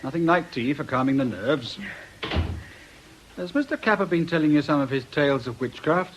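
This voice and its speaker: An actor playing an affable, dry middle-aged police inspector in a conversational radio drama style. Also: male voice, on the high side, moderate pace, lo-fi sound, background noise, close to an everyday voice. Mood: probing, rueful.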